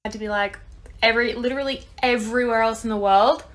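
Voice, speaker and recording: A woman, recounting a story to camera with quick, exasperated energy. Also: a little high, fairly even intonation, fairly bright tone.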